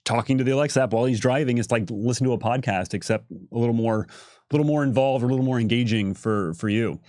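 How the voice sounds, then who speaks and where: A man's voice: medium pitch, quick pace, darkish tone, no echo, clean studio sound. A man talking conversationally in a livestream Q&A, explaining things and restarting his phrases. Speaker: creative director co-presenting on a livestream. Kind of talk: unscripted livestream Q&A.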